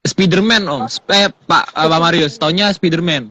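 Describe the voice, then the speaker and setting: A man co-hosting a casual live stream, chatty and teasing, with short quick replies. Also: fairly high pitch, pushed and bright. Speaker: co-host. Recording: dull lo-fi stream audio.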